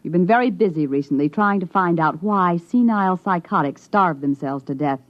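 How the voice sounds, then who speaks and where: A woman's voice: fairly low pitch, normal speed, lightly acted. A woman playing a cool, commanding young interviewer in an old-time radio drama, curt, controlled and businesslike. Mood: coolly confrontational. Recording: muffled vintage radio recording.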